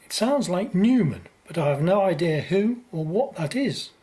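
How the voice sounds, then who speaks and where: A woman's voice: lowish voice, expressive, moderate pace, mellow, lightly acted. A woman playing an earnest, uneasy young man in a radio play. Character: anxious, earnest young man troubled by dreams.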